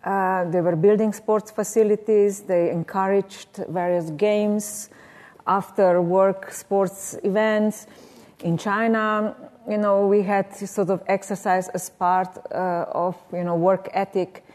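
A woman's voice: mid-range voice, fairly even intonation, slow pace, darkish tone. A woman from Yugoslavia moderating a panel, asking a long question in a hesitant, searching way with restarts.

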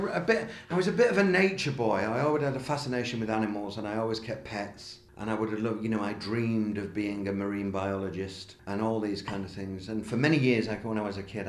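A middle-aged man from Manchester reflecting in an interview, halting and often repeating himself. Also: lowish voice, fairly even intonation. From Manchester, England. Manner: halting, self-repeating delivery. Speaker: interviewee, designer. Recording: echoey room.

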